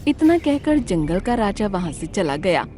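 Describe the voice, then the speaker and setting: A woman's voice: medium pitch, sing-song, moderate pace, dark tone, faint music behind. A woman narrating a scripted children's animal story in a dramatic storytelling style.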